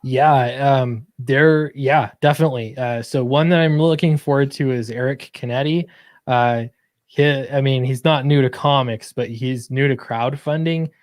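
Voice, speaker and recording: A man's voice: medium pitch, steady tone, unhurried, darkish tone, no echo, clean studio sound. A man from Utah chatting casually as a guest comic creator. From Utah, United States. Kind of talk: casual chat.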